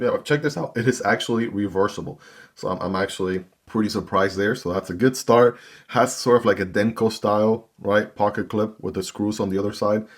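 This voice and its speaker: Low-voiced man reviewing gear. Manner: chatty, talks straight to viewers. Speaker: knife review channel host. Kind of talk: casual product unboxing and review.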